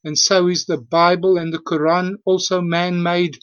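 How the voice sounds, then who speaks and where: A man of fifty-eight with a South African accent leaves a personal voicemail testimony in a halting, earnest, emphatic way. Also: high voice, little pitch movement, smooth. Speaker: voicemail caller. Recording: dull lo-fi voicemail recording.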